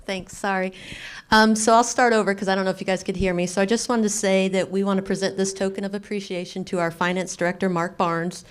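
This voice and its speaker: A woman's voice: mid-range voice, fairly even intonation, fast talker, clear, resonant tone. A woman from human resources reading a prepared appreciation speech at a podium microphone in a county meeting hall.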